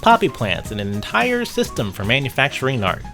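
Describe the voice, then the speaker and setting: A man's voice: mid-range voice, huge pitch swings, brisk, pushed and bright, soft background music. A man narrating a casual scripted video game retrospective, with wry, sarcastic asides.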